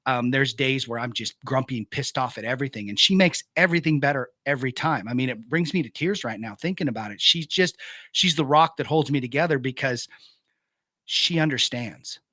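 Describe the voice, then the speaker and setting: A man's voice: medium pitch, a little fast, pushed and bright, dry recording, clean studio sound. A middle-aged man, a veteran guest on a radio talk show, speaking bluntly and earnestly and swearing freely. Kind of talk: candid conversation.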